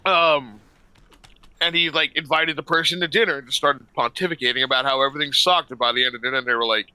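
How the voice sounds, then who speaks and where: A man's voice: mid-range voice, fast talker, sharp tone, clear, resonant tone, no echo. A man chatting casually in a blunt, profane, slangy way, rambling through his opinions.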